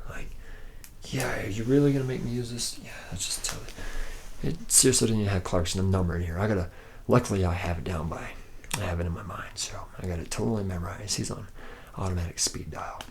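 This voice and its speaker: A man's voice: fairly low pitch, slight background noise, lightly acted. A man playing a laid-back, boozy womanizing factory worker in an ASMR roleplay, talking in a casual, slangy ramble. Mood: mildly grumbling.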